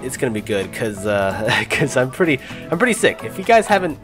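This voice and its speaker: Young male gaming host with a head cold, animated pitch, music bed clearly audible. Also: brisk. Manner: chatty, laughing, swearing at close calls. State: congested from a cold. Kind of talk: casual gameplay commentary. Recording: music playing clearly underneath. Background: game music and sounds.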